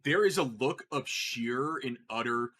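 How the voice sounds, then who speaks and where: A man's voice: mid-range voice, lively intonation, a little slow, fairly bright tone. A man playing a tabletop game, casually describing his character's reactions in an amused way.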